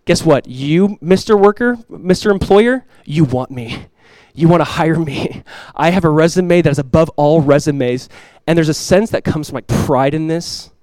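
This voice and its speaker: A man's voice: fairly high pitch, normal speed, darkish tone, no room sound. A pastor giving a conversational, earnest sermon, asking his listeners rhetorical questions. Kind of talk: expository sermon.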